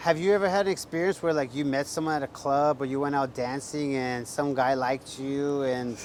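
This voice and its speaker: A man's voice: mid-range voice, fairly even intonation, a little fast, a little mellow, a little hiss or hum. A man interviewing a guest, asking blunt questions and giving advice in a casual way.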